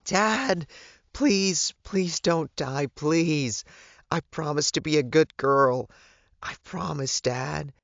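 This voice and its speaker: A man's voice: fairly high pitch, takes it slowly, vocal fry, fairly bright tone, no echo, highs cut off, pitched above the performer's own voice, heavily acted. A man voicing a frightened, devoted young girl who pleads in an audiobook. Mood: desperate pleading. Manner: pleading, distressed.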